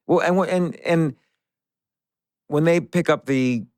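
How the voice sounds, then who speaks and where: A middle-aged man hosting a casual interview, halting as he forms questions and acting out imagined bits of dialogue. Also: fairly high pitch, darkish tone, no echo, studio quality. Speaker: podcast host, interviewer. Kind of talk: casual chat.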